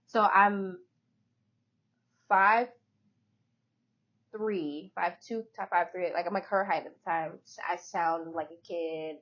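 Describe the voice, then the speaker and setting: A woman in her twenties with a light, feminine voice co-hosts a podcast. She talks in an animated, emphatic way while telling stories. The sound is slightly dull and lo-fi. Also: fairly low pitch, takes it slowly, long pauses. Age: 20s. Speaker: podcast co-host. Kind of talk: casual chat.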